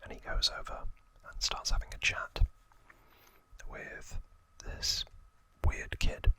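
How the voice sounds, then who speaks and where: A man hosting an ASMR explainer in a breathy, unvoiced whisper, telling it in hushed, deliberate phrases with pauses. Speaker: ASMR video host. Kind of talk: whispered ASMR explainer, scripted-feeling trivia retelling with asides.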